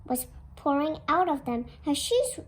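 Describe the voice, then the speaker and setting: A child reading a fairy tale aloud in a careful, expressive storytelling manner, as a storybook narrator. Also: sits high, measured pace. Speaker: storybook reader.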